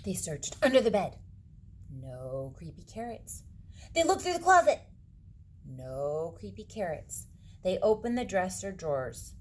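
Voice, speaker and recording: A woman reading a picture book aloud to children, dramatically, with hushed suspenseful moments and asides to her young listeners. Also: lowish voice, sing-song, measured pace, slight background noise. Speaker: read-aloud storyteller for children.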